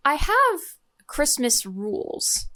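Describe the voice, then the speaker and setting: Woman, co-host, casual chat, higher voice, dry brief remarks. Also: huge pitch swings, takes it slowly, bright, forceful tone.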